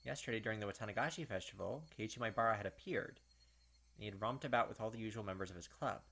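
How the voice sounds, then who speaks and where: A man hosting a let's-play, switching between reading story text aloud and animated, puzzled commentary on it. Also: fairly low pitch, fast, vocal fry. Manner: animated, puzzled reactions and speculation, mimicking characters. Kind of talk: gameplay commentary mixed with reading visual novel text aloud. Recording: music playing behind the voice. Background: game background music.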